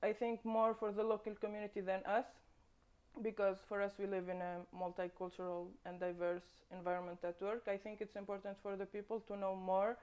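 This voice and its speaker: A woman's voice: medium pitch, little pitch movement, brisk, darkish tone, clean tone, dull audio. A woman in her twenties from Tunisia, a volunteer who talks earnestly about what connects people. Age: 20s.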